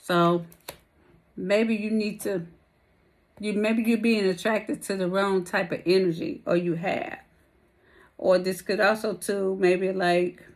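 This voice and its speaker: A woman giving a casual, rambling tarot reading to her viewers while losing her voice. She talks in slang and calls her listeners baby and y'all. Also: medium pitch. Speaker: tarot reader.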